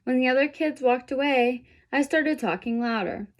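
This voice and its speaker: Woman voicing an upset young zebra boy, highish. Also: moderate pace, natural voice. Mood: earnest, troubled.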